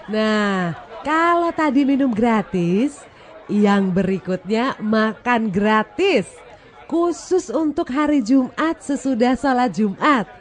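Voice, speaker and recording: Slow-paced, coaxing café host. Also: female voice, a little high, animated, some chatter behind. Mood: playful, coaxing. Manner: coaxing, informal. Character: playful, teasing female host of a café discussion.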